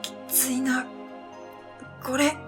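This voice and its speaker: Woman reading a radio drama story, soft n unhurried, higher voice, faint new age music behind. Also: little pitch movement. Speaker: narrator and storyteller. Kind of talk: scripted first-person radio drama narration.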